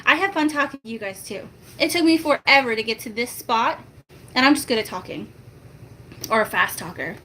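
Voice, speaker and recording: A soft-spoken woman, chatting casually and laughing with her viewers in a rambling, self-mocking way. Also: expressive, brisk, a little hiss or hum. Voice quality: soft-spoken, high-pitched. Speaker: family vlogger.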